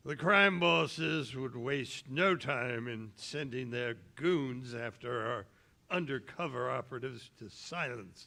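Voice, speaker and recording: A man playing a worried, authoritative police commissioner in a 1930s radio drama, earnest and halting. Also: mid-range voice, gravelly, pushed and bright, exaggerated voice.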